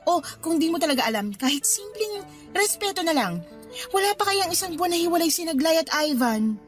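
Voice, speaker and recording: A disapproving young woman with a high voice. She nags and needles emphatically, dripping sarcasm in radio-drama banter over soft music. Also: big pitch swings, a little fast. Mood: scolding. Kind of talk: radio drama dialogue in colloquial Taglish.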